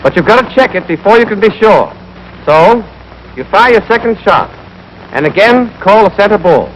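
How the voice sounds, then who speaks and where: A man's voice: high voice, sing-song, normal speed. A young American man giving scripted, clipped, step-by-step marksmanship instruction as an army instructor in a 1940s training film. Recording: noisy 1940s film soundtrack.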